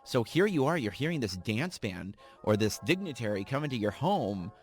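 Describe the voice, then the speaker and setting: A man's voice: medium pitch, very animated, moderate pace, husky, soft background music. A man giving expert interview commentary, emphatic and fond of repeating his sentence openings. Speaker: radio creative director, expert interviewee.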